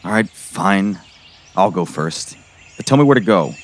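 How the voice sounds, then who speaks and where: A man's voice: deep voice, lively intonation, measured pace. A man playing an impatient but brave small-town sheriff in an audio drama. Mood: resolute. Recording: faint outdoor insect and bird noise.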